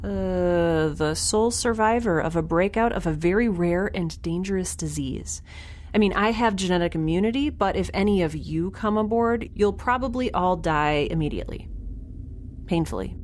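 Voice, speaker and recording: A woman's voice: lowish voice, normal speed, a little mellow, natural voice. A woman playing a wry, flustered young woman alone on a spaceship, in a casual, hesitant, sarcastic audio drama style. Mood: wry, bluffing. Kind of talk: naturalistic sci-fi audio drama acting. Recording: faint ship ambience noise.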